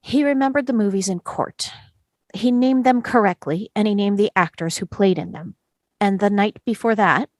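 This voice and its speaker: A courteous, persistent juror from a stage drama, played by a woman. The mid-pitched voice is measured and probing. The sound is dry, with no room around it. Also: dry recording, natural voice. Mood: persistent questioning. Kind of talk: amateur table read of a stage drama.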